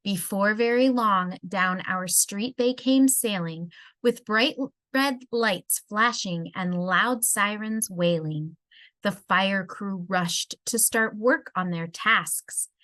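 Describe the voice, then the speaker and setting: A woman reading a rhyming children's story aloud in an expressive storybook style. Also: medium pitch, slow, no room sound. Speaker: storybook reader.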